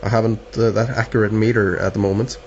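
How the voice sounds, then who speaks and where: A man with a Norwegian accent chatting casually as a radio operator, halting and repeating words. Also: fairly low pitch, level tone, darkish tone. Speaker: amateur radio operator filming the contact. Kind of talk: casual two-way radio chat. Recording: dull lo-fi camera sound, faint hiss.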